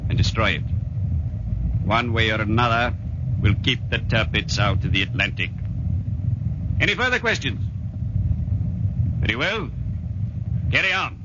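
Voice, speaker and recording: An actor playing a brisk, resolute officer briefing his men in a noisy 1940s radio war drama. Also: on the low side, lively intonation, lo-fi sound.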